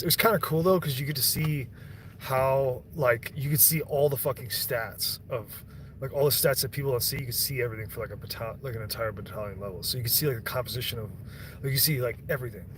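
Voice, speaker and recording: A man's voice: mid-range voice, a little hiss or hum. A young man on a stream, rambling and swearing in casual chat, often breaking off and restarting his sentences. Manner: rambling, profane, self-interrupting.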